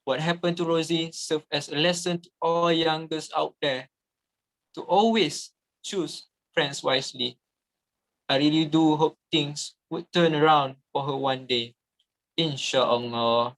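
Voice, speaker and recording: A man's voice: a little high, fairly even intonation, a little slow, fairly bright tone, rough recording. A man acting as the sympathetic narrator of a moral tale in a scripted reading. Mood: solemn, sympathetic. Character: sympathetic storyteller drawing a moral.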